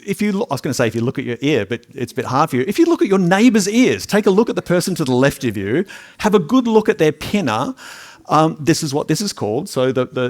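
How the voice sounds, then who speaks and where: A middle-aged man with a fairly high voice gives a live science lecture. He keeps it chatty and jokey, talking straight to the audience and restarting his phrases as he goes.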